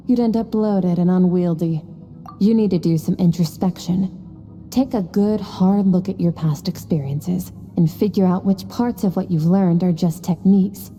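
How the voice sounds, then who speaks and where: A woman playing a stern, aloof master swordswoman in a video game, lecturing in a cool, measured, terse manner. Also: fairly low pitch, dark tone, long reverb tail, natural voice. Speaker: sword instructor. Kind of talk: scripted video game voice acting.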